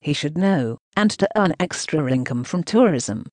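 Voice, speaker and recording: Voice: mid-range voice, animated, moderate pace, no room sound. A synthetic text-to-speech voice reading translated news narration in a choppy way, speaking punctuation marks aloud.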